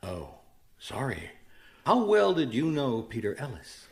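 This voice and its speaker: An actor playing a persistent, plain-spoken private detective in a radio mystery, asking questions in a casual, clipped way. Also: male voice, medium pitch, wildly swooping pitch, measured pace. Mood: probing. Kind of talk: radio mystery drama acting.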